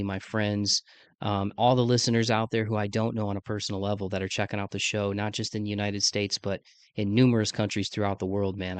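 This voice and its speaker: A man's voice: fairly low pitch, no room sound. A man in his mid-thirties with a congested voice, chatting casually and slangily as the host of a podcast. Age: mid-30s. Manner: laid-back slangy talk, says "man" often. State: congested from sinus trouble, voice deeper than usual.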